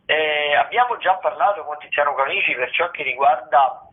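A man presenting a semi-improvised rundown of listings on the radio, in a halting delivery with drawn-out words. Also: fairly high pitch. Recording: thin phone-like band-limited radio sound.

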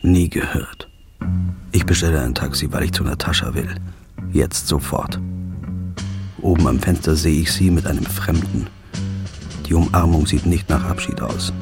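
Deep gravelly guy, weary hard-boiled narration, music under it. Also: steady tone, moderate pace, long pauses. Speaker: narrator and protagonist. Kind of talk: first-person crime drama narration.

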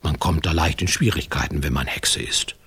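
An actor playing a wry, folksy fairy-tale narrator in a radio play, theatrical and conspiratorial. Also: male voice, extremely low pitch, moderate pace, a bit bright, husky, heavily acted. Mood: wry, chatty.

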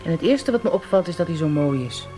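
Levendige, droge vrouwelijke politie-inspecteur. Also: female voice, low voice, lively intonation, fast talker, mellow, faint music behind. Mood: admiring, musing. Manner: dry, bossy, teasing. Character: brisk, dry-witted female police inspector. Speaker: actor in a radio play. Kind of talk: naturalistic Dutch radio drama acting.

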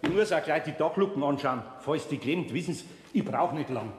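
A man's voice: on the high side, lively intonation, brisk, echoey room, close to an everyday voice. An actor playing a kindly, flustered older master craftsman with a Bavarian accent in a German children's radio play, fussing and repeating himself. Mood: polite, hesitant.

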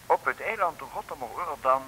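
An actor playing a pompous, secretive intelligence colonel with a Dutch accent, officious and halting, in an old comic radio play. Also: male voice, a little high, expressive, sharp tone, slightly rough, heavily acted, rough recording. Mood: officious, urgent. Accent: Dutch from the Netherlands. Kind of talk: 1950s-60s comic radio drama acting. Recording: hissy vintage radio recording.

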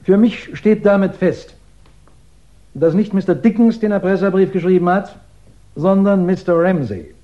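Actor as methodical detective, higher-pitched male voice. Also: warm tone, natural voice. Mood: methodical, assured. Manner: measured, insistent, explanatory, courteous. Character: methodical English police investigator laying out his case. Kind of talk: 1960s German radio crime drama acting. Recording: muffled 1960s radio drama recording.

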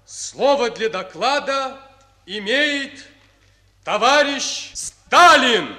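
A ceremonial Soviet announcer of the 1940s proclaims in a raised, forceful voice. The delivery is loud and solemn, with long drawn-out words, in the manner of a wartime radio drama. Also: male voice, very high voice, lively intonation, in a large hall, voice pushed up, heavily acted. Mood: triumphant, ceremonial. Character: booming ceremonial male radio announcer at assembly.

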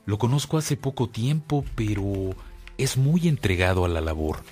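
A devoted Mexican priest in a radio play. His voice is deep and moves around a lot, earnest and expressive, with faint music behind. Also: normal speed. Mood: earnest, approving. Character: Antonio, devoted priest brother, earnest and self-sacrificing. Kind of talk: radio drama acting.

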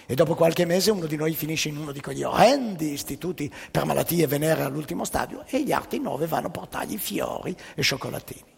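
A man's voice: a little high, expressive, quick pace, gravelly, hardly any pauses, husky, big-room sound, voice pushed down, full character voice. A man voicing a suave, amorous Parisian with a French accent, slipping French words into a comic stage joke. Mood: matter-of-fact. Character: suave, amorous male Parisian bon vivant.

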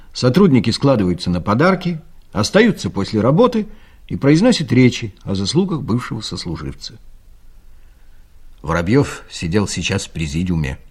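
A man's voice: mid-range voice, a little mellow, breathy edge. A male narrator telling a satirical story in a dry, ironic manner, as in a scripted audio play.

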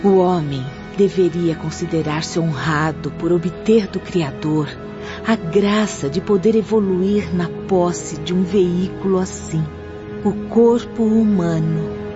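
An actress playing a reverent, serene spiritual apprentice, reciting earnestly in a radio drama. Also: female voice, fairly low pitch, slow pace. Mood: reverent admiration. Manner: earnest, solemn. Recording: dull lo-fi audio, faint music.

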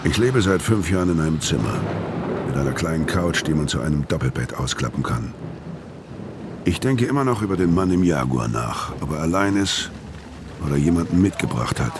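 Deep-voiced, haunted ex-con narrator. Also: male voice, animated, a little fast, noisy background. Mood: bleak, brooding. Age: 50s. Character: weary, haunted older male ex-convict telling his story. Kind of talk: first-person narration in radio crime drama.

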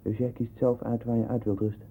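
An actor playing a troubled, obsessively questioning man with a husky, breathy voice in a 1960s radio play. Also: medium pitch, flat delivery, brisk. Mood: probing. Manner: insistent, probing questions and fevered monologue. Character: troubled, searching man sinking into obsessive doubt. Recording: dull 1960s radio recording.

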